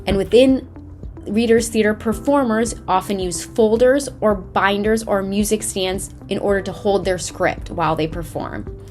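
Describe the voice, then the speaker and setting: Woman teaching over soft music. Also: mid-range voice, unhurried. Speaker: drama instructor. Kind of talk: scripted instructional narration. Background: background music.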